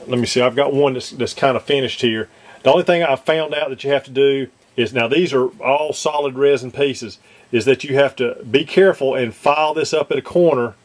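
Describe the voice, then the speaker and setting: Halting man demonstrating a model kit. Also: mid-range voice. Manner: halting, many fillers and restarts. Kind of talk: casual hands-on demonstration. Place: workshop, indoors.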